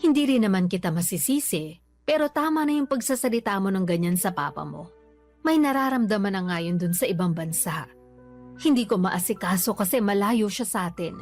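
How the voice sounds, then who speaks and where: An actress with a Filipino accent playing a worried, forgiving middle-aged mother in a radio drama, pleading and gently reproving. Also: mid-range voice, expressive, fairly quick, faint music behind.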